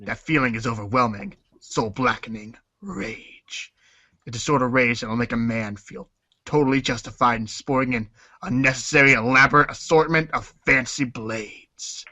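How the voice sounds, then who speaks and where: A man performs a sinister gang boss with a very bright, forceful edge, recorded dry and close. He narrates his schemes like a dramatic storybook, deliberate and theatrical, with rage under the surface. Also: mid-range voice, no room sound, dull audio. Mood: seething. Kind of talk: dramatic storybook read-along.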